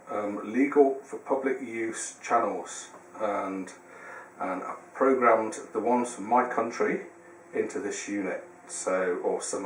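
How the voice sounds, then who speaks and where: A man presenting a casual how-to demonstration, explaining step by step in a hesitant way. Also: lowish voice. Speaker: tutorial presenter. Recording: roomy, distant mic, faint noise.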